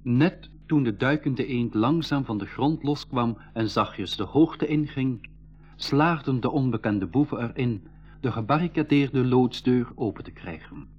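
Sensible young male adventurer, earnest storyteller, husky, dull vintage radio-drama sound. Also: mid-range voice, a little slow, faint music behind. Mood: earnest narrating. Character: sensible boy adventurer who narrates his story. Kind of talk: 1980s school radio play with scripted narration. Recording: dull, band-limited old radio recording.

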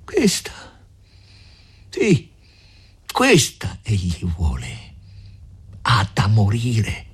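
A man's voice: fairly low pitch, huge pitch swings, extremely slow, pauses often, breathy edge, heavily acted. A man voicing a furious, vengeful young peasant man in a storybook reading, declaiming wildly. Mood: enraged. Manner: shouting, wild, declamatory.

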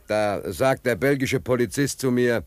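An actor in a German radio play playing a stranded, penniless American sailor, plain-spoken, hesitant and stubborn. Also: male voice, mid-range voice, measured pace, no echo, close to an everyday voice. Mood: animated storytelling.

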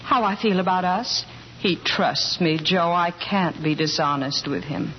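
A woman's voice: fairly low pitch, a little slow, natural voice. An actress playing a scheming married woman who professes forbidden love in theatrical 1940s radio comedy style. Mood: resolute. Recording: muffled, noisy 1940s radio recording.

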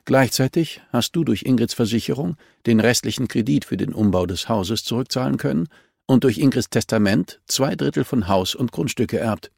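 A man voicing a cool, relentless policewoman in a crime audiobook, calm and insistent as she accuses a suspect. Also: medium pitch, moderate pace, creaky, dry recording, clean studio sound, voice pushed up. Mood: cold.

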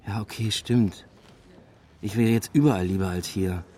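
An actor playing a dry, understated male academic in naturalistic radio drama dialogue, laconic and low-key. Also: steady tone, moderate pace, mellow, a little hiss or hum, close to an everyday voice. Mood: dry, teasing.

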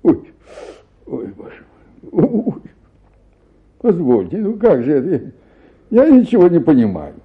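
Thin phone-like recording, exhausted irritable male official, high swooping voice, groaning, radio play. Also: wildly swooping pitch, unhurried, pauses often, slightly rough, full character voice. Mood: exasperated. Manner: groaning, sighing, exasperated. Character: exhausted, ailing, irritable male bank official, hot-tempered. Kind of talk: theatrical radio play acting.

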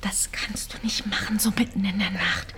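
A woman's voice: medium pitch, fairly even intonation, moderate pace, natural voice. A woman playing an anxious wife, half-whispering. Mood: urgent, distressed. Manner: urgent, hushed, pleading. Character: anxious, pleading farmer's wife, protective and insistent. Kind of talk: German radio drama acting.

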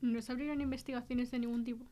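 Spanish woman playing a mystery podcast host, composed, kinda high voice, doing an interview. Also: flat delivery, fast, dark tone, close to an everyday voice. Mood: inquiring. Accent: Spain.

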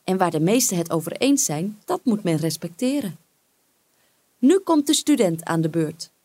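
A woman reading a fairy tale as an expressive storyteller, with dramatic, deliberate phrasing. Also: lowish voice, dry recording.

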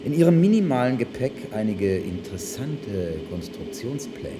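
A man acting in a German radio play as a suave, coldly menacing government official with a formal, smooth manner. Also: mid-range voice, big pitch swings, moderate pace, close to an everyday voice. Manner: formal, smooth, veiled threats. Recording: noisy archival radio drama recording.

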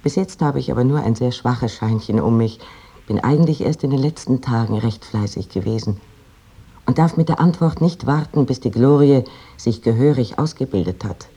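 A very mellow, husky letter writer. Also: male voice, medium pitch, normal speed. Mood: fond, wry. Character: affectionate, self-mocking poetic letter writer addressing a dear boy. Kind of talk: literary letter reading in radio play.

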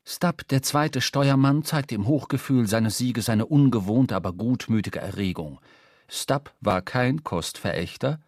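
Male narrator, medium pitch, storytelling for a radio play, measured n dramatic, very dry studio sound. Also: a little mellow, dry recording. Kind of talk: scripted literary narration.

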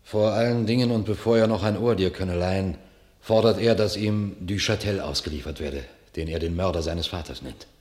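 A grave, loyal knight voiced by a man reports bitter news in a classical radio drama. His voice is low, his delivery stern and declamatory, in formal verse. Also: normal speed, a little mellow, close to an everyday voice. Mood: stern, blunt.

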